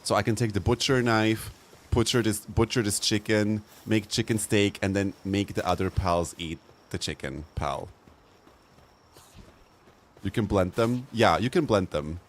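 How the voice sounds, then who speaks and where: A man's voice: fairly low pitch, unhurried, a little hiss or hum. A young man live-streaming a game, chatting casually in a campy, playful way and laughing often. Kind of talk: casual live-stream chat over gameplay.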